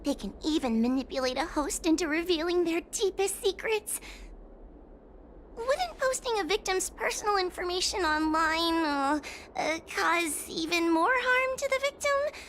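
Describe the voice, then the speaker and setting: A woman voicing a timid, earnest little girl in a video game, polite, careful and gently hesitant. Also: very high-pitched, lively intonation, measured pace, a little hiss or hum, exaggerated voice. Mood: hesitant, concerned.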